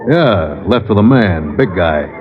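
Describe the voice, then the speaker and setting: With a crowd behind him on a muffled old radio recording, a helpful ticket seller. His voice is low and casual. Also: expressive, a little slow. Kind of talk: 1940s-50s radio drama acting.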